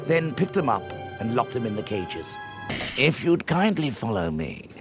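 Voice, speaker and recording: An actor playing an eccentric, mysterious old British wizard wandmaker in a video game, speaking in courtly, musing, portentous phrases. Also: male voice, mid-range voice, sing-song, unhurried. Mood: instructive. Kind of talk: theatrical video game voice acting. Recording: game music behind the voice. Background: music.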